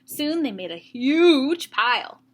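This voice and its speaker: A woman teacher reading a story aloud to young children, with expressive emphasis and explanatory asides. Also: high-pitched, slow.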